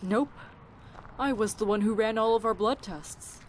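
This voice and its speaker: A woman's voice: fairly high pitch, measured pace, slight background noise, lightly acted. An actress playing a perceptive woman doctor in a zombie apocalypse audio drama, chatty and matter-of-fact. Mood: frank, understanding.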